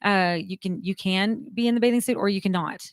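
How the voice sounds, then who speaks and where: A woman's voice: medium pitch, a little fast, dry recording, studio quality. A woman guest on a radio talk show, chatting casually and agreeing often. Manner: warm, agreeable, frequent affirmations. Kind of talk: casual chat.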